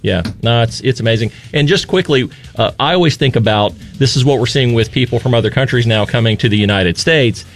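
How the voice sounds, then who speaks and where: A man's voice: medium pitch, fairly quick, few pauses, soft background music. A man co-hosting a talk radio show, teasing his partner and introducing stories playfully. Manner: teasing, playful, chuckles often. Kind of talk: casual talk radio banter.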